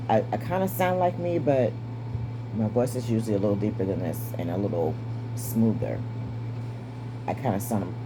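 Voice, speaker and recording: Raspy, breathless woman in her fifties. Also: a bass voice, moderate pace, long pauses, background noise. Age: 50s. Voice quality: raspy, strained voice. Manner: candid, wry, stays positive. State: short of breath. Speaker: vlogger. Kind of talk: casual personal update to camera.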